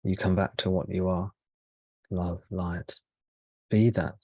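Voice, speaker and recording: A man speaking as a spiritual teacher leading a guided meditation, in slow, measured short phrases with pauses between them. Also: sits low, level tone, dark tone, husky, no room sound.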